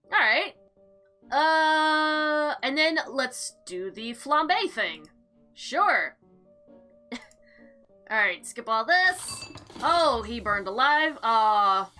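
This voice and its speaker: A woman giving casual, chatty gameplay commentary and reading visual novel text aloud in an expressive, theatrical way. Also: fairly high pitch. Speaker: game player and narrator. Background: background music.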